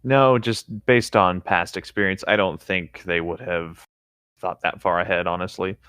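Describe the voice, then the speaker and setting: A man's voice: deep voice, fairly even intonation, unhurried, a bit bright, clear, resonant tone, no room sound, clean studio sound. A man co-hosting a podcast, reading fan fiction aloud casually with easygoing, dryly joking asides.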